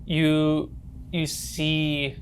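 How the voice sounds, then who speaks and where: A woman's voice: low voice, completely flat delivery, drawn-out words, slight background noise. A woman acting as game master, narrating a tabletop horror game in an informal, descriptive storytelling way.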